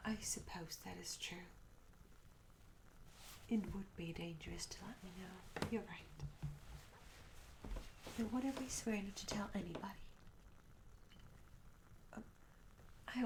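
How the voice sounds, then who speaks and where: Curious medieval barmaid, female performer, ASMR roleplay: hushed, gently creaky, slow, polite and hesitant. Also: medium pitch, noisy background. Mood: pleading, eager. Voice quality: soft, breathy whisper. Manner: hesitant, courteous, archaic formal phrasing. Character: curious, polite young medieval tavern barmaid, eager for tales. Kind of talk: soft-spoken whispered ASMR roleplay.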